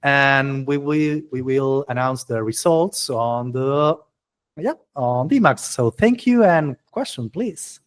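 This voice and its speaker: A man hosting an informal tech community presentation, speaking enthusiastically in halting, repetitive English with non-native-sounding phrasing. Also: medium pitch, animated. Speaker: meetup host presenting project proposals.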